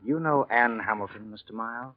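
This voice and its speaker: A man's voice: mid-range voice, brisk, natural voice. An actor playing a persistent male police lieutenant, offhand and politely insistent, in 1940s radio drama style. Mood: probing, casual. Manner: offhand, hesitant probing, polite but insistent. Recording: dull 1940s radio recording.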